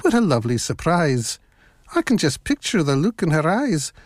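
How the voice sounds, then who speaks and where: A woman's voice: low-pitched, big pitch swings, normal speed, darkish tone, no echo, studio quality. A woman voicing a sly male storybook wolf with a Scottish accent and coaxing, oily manners. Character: sly, smooth-talking male wolf, falsely charming. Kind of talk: children's storybook character voice.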